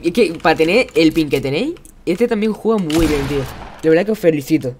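A man's voice: a little high, lively intonation, quick pace, a little mellow. A young man with an accent from Spain chats casually and encouragingly in slang as he hosts a live game stream. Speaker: game streamer, host of one-on-one matches. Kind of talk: casual live gameplay chat. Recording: clear background noise, keyboard clicks.

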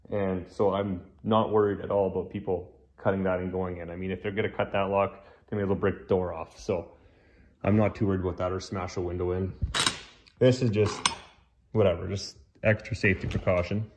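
Young guy, low steady voice, loose walkthrough with lots of restarts, phone held at arm's length. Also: a little fast, dull audio, noisy background. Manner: relaxed, rambling, frequent restarts and fillers. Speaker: vlogger. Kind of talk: casual walkthrough tour. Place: small insulated wooden shack indoors. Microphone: handheld phone camera at arm's length.

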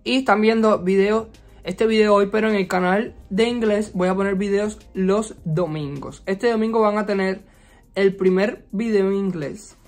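A man's voice: sits high, moderate pace, faint music behind. A young man with a Cuban Spanish accent, chatting expressively and sassily in a casual storytime vlog. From Cuba. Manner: chatty and expressive, frequent fillers, playful sass. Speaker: YouTuber. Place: resort vacation rental.

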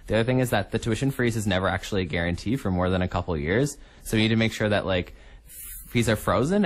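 A young adult man, a guest in a casual radio interview in a studio. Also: on the low side. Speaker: interview guest, incoming student union executive.

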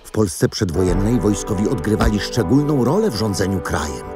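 A man's voice: fairly low pitch, animated, fairly quick, natural voice. An actor playing a kindly grandfather who explains history to children in an audio drama. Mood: calm explaining. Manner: explanatory, storytelling. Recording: loud music behind.

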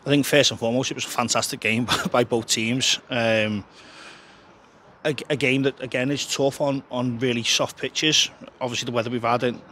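Frank football manager outdoors. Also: male voice, mid-range voice, fairly even intonation, moderate pace, bright, forceful tone, slight background noise. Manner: frank, chatty, frequent restarts and fillers. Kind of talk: post-match interview. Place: outdoors beside a football pitch. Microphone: handheld interviewer mic held close.

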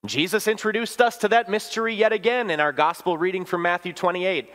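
Pastor, 30s, male; chatty storytelling sermon with questions to the pews; a bit high-pitched; church echo. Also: fairly quick, fairly bright tone. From Wisconsin, US. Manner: conversational storytelling, asks the congregation questions. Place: church sanctuary at the altar. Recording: long church reverb.

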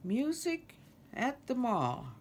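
Woman playing an earnest, animated storybook frog. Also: medium pitch, a little slow, slight background noise, pitched above the performer's own voice. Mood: dismayed. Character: earnest young male frog, worried about his home.

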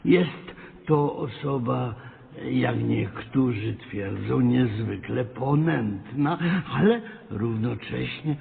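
An actor playing a stern, sly parish priest who preaches in ponderous phrases in a radio play. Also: male voice, medium pitch, slow, creaky, phone-quality sound, a crowd in the background. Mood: solemn. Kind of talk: radio drama acting. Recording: thin band-limited radio sound, reverberant, faint noise.

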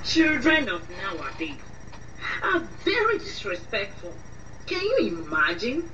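An actress playing a disapproving auntie in a radio drama, emphatic, with a Nigerian accent. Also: female voice, medium pitch, wildly swooping pitch, measured pace. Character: disapproving auntie, an uncle's wife. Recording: muffled distant mic with faint noise.

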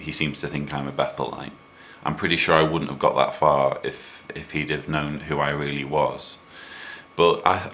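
Deep, bright-voiced British man. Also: a little hiss or hum. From United Kingdom. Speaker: vlogger. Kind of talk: casual commentary to camera. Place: small indoor room at a desk.

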